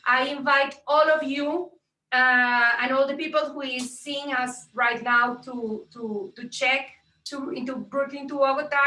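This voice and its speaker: A woman's voice: high-pitched, bright, forceful tone. A middle-aged woman from Colombia with a strong Colombian Spanish accent and Spanish as her first language, giving an earnest talk at a virtual event, with many fillers and restarts. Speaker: tech entrepreneur and speaker. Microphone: video call. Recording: echoey, distant laptop mic on a video call.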